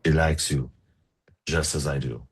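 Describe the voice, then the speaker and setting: An actor playing a gentle, coaxing farm suitor, tender and a little forward, in a romcom table read. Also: male voice, rumbling low, steady tone, measured pace, husky, heavily acted.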